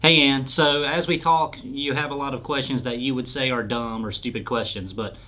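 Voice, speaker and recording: A man's voice: mid-range voice, steady tone, moderate pace, bright, forceful tone. A man answering questions as a sales expert in an informal explainer interview, speaking in a plain, explanatory way.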